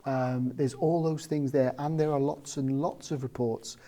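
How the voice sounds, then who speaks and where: A man from South Wales, a parapsychologist guest talking in a measured, explanatory way with frequent ums. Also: mid-range voice, fairly even intonation, warm tone. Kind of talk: casual interview chat.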